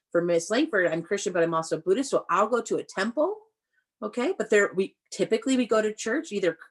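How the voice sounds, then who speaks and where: A woman speaks as an elementary school teacher leading a read-aloud for young children. Her delivery is animated and explanatory, at a middle pitch for a woman. She addresses the children directly and checks in with questions.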